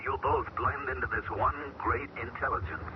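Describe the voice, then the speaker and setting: An actor playing an airman taken over by an alien intelligence in an old radio drama, speaking in a calm, detached way. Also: medium pitch, moderate pace, close to an everyday voice. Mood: eerie calm. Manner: calm, detached and hollow, then pleading and broken. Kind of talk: 1970s radio drama acting. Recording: muffled, hissy old radio broadcast.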